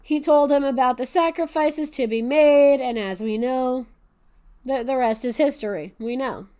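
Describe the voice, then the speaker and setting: A woman's voice: high-pitched, normal speed, warm tone, clear, resonant tone. A woman hosting a podcast, casually retelling a Greek myth with profanity and sarcastic asides. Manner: swears freely, laughs, adds sarcastic asides. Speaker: podcast host.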